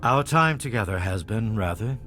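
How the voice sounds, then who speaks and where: A grave leader of a vampire clan in a video game. His low voice moves expressively through formal, courteous, measured lines. Music plays clearly behind him. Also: lively intonation, a bit bright. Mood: solemn, resigned.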